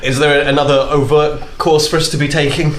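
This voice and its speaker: A player describes his character's clumsy actions, laughing often. His voice sits in an echoey room with a clear hum of background noise. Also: a little high, level tone, fairly quick, fairly bright tone. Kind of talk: casual tabletop play.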